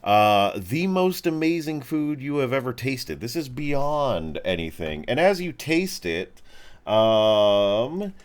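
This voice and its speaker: A man narrating a tabletop game as game master, informal and halting as he searches for words. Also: medium pitch, very animated, clean tone.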